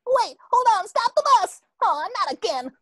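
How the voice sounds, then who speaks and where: Woman as a wildly swooping, excitable cartoon sponge. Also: extremely high pitch, moderate pace, no echo, exaggerated voice. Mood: frantic. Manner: theatrical, exclaiming, talking to self. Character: excitable, naive young male cartoon sea sponge. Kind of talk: amateur table read of a cartoon script.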